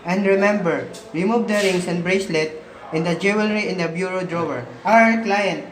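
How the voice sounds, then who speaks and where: A high-voiced man playing a cold criminal in a radio drama, instructing matter-of-factly. The recording is echoey with slight noise. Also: moderate pace, fairly bright tone. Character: cold criminal giving orders to hired killer. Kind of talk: amateur radio play acting. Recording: echoey, noisy room recording.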